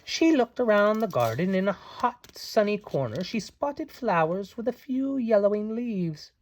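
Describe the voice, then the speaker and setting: Playful man reading a storybook, very animated. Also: high-pitched, unhurried. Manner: playful, theatrical asides. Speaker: parent reading aloud to children. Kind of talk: animated storybook read-aloud.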